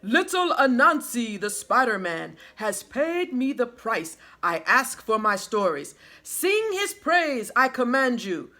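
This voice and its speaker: A woman voicing a grand male sky god who proclaims loudly and in a stately way. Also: a little high, very animated, slow pace, few pauses, pitched above the performer's own voice, full character voice. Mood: proclaiming.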